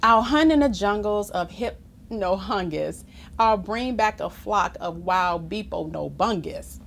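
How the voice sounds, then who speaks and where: A woman reading a rhyming children's book aloud in an expressive, theatrical way, with chatty asides to young listeners. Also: medium pitch, measured pace, gravelly, slight background noise. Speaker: storybook reader.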